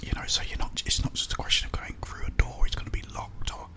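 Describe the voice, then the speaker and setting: A man whispering a casual, unscripted ASMR ramble in a breathy voice, meandering along close to the microphone. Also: quick pace, bright, forceful tone. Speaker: ASMR video host.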